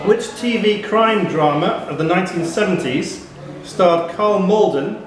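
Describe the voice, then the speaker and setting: A man's voice: sits high, unhurried. A man working as quiz master, reading out questions at a live pub quiz. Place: indoor pub quiz venue. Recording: echoey crowded pub room. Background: clear crowd chatter.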